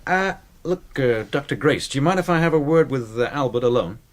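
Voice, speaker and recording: A man's voice: mid-range voice, animated, fairly quick, close to an everyday voice. An actor playing a brash, breezy, scheming man in a radio drama, jaunty, slangy and bossy.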